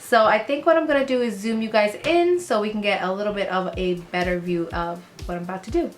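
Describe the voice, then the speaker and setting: A young woman beauty vlogger, sick with a rough, lowered voice, chatting casually through a makeup tutorial with fillers and asides, filmed close up in her bedroom. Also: quick pace, a little hiss or hum. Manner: chatty, rambling, fillers, trails off while working. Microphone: camera close up in front of face.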